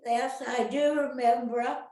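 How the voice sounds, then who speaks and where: An older woman, an actress reminiscing casually in an interview, rambling and halting, often turning questions on her listeners. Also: high-pitched, fairly even intonation, dark tone. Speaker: retired actress being interviewed. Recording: roomy, echoey sound.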